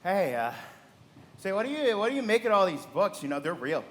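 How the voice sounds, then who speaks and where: Rambling middle-aged drunk, animated voice. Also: male voice, sits high. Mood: tipsy wonder. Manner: halting, tipsy, repetitive. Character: stout, drunken middle-aged male party guest, rambling and amazed. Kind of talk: live radio play acting.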